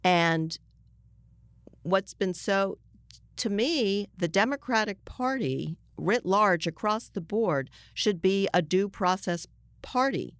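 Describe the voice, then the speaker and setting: Woman journalist guest making an argument, clear resonant voice, insistent, restarts sentences, dry close audio. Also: mid-range voice, lively intonation, slow pace, no echo. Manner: emphatic, insistent, breaking off to rephrase. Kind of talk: argumentative interview discussion.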